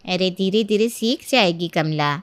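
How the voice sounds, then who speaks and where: A woman's voice: on the low side, measured pace, no echo, clean studio sound, lightly acted. A woman voicing a concerned older neighbour woman in a storybook tale, exclaiming and coaxing. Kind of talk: Hindi moral-story voice acting.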